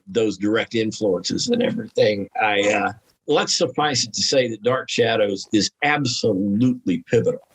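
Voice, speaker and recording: A man's voice: fairly low pitch, husky, low-quality recording. A man speaking as a guest author, chatting casually and candidly about his own writing in a rambling, self-deprecating way.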